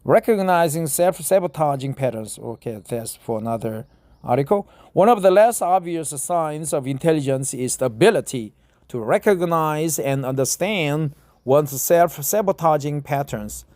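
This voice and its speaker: A man's voice: a little high, expressive, moderate pace, darkish tone, no room sound, studio quality. A middle-aged Korean man, a non-native speaker, reading an article aloud in English with a Korean accent, hesitating with many fillers and self-corrections. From South Korea. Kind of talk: casual self-recorded English reading practice.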